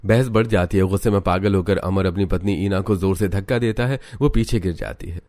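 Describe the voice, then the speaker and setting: A man's voice: low voice, fairly quick, a little mellow, no echo. A man reading scripted storybook narration for a cartoon.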